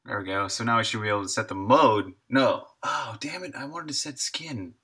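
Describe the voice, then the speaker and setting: A man's voice: medium pitch, a little fast, sharp tone, husky. A man in his thirties chatting casually and thinking aloud while he codes on a live stream, sometimes muttering to himself. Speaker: game developer live streaming.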